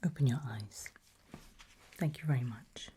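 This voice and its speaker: A woman whispering in a breathy voice, playing a polite, calmly creepy medical examiner in an ASMR roleplay. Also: a bass voice, a little slow, slight background noise. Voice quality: breathy, mostly unvoiced whisper.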